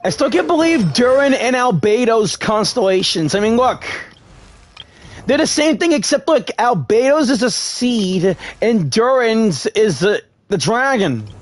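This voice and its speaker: A man's voice: sits high, no echo. A man commentating over a game playthrough in a rambling, conversational way. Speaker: gaming video creator. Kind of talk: game playthrough commentary, reading dialogue aloud.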